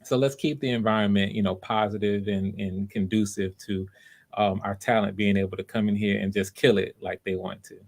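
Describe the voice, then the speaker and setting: A man with a fairly low voice talks as the host of an online audition. His delivery is casual and encouraging, and he circles back to rephrase his points over a video call. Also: fairly even intonation, fairly quick. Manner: encouraging, repeats and rephrases himself. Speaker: writer-director hosting live auditions. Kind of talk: casual unscripted hosting talk.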